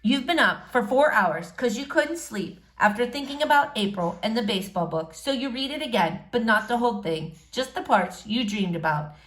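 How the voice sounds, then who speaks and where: A woman's voice: fairly high pitch, lively intonation, normal speed, few pauses, fairly bright tone. A woman narrating a novel aloud expressively, like a teacher reading to pupils. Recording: roomy, echoing room sound.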